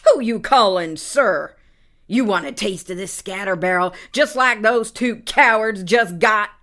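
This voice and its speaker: A woman playing a tough, cantankerous frontier scout fish in a Western comedy audio drama, with gruff, folksy, combative talk. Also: mid-range voice, a little slow, a bit bright, lightly acted. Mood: irate, combative.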